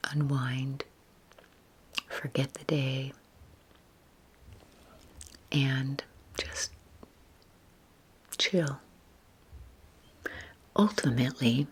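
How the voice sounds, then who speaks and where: An older woman in her sixties whispers softly and breathily, rambling informally and unhurriedly in a relaxation ASMR style, with a self-deprecating manner. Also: a bass voice. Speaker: YouTube video creator.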